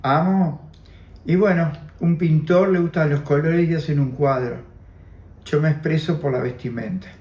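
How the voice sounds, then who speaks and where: A man's voice: on the high side, normal speed, a little mellow, echoey room, lo-fi sound. A man in his seventies with an Argentine Spanish accent, Armenian as his first language, giving an oral history testimony, reflective and repeating his phrases. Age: 70s. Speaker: interviewee.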